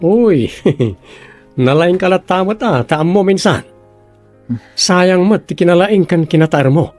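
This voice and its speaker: A man's voice: high-pitched, takes it slowly, darkish tone, faint music behind. A man with an Ilocano accent playing a relative of a sick young man in a radio drama. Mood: teasing. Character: male family member of a sick young man, by turns teasing and patient.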